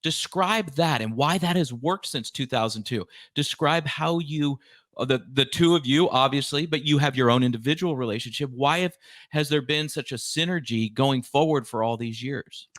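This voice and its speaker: A man's voice: mid-range voice, moderate pace, a bit bright, no room sound. Enthusiastic male podcast host, dry sound. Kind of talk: conversational interview questioning.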